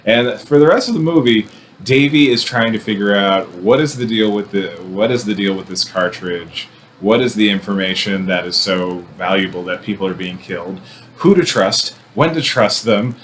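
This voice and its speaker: Man, lowish voice, movie review host, conversational, sardonic asides, audible background noise. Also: normal speed, a bit bright. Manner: wry, irreverent, sardonic asides. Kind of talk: casual, conversational film commentary.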